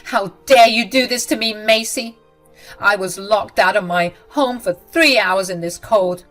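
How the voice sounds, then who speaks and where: A woman voicing an angry, self-pitying mother-in-law who talks in a shrill, accusing way. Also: normal speed, a bit bright, faint music behind, natural voice. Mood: outraged.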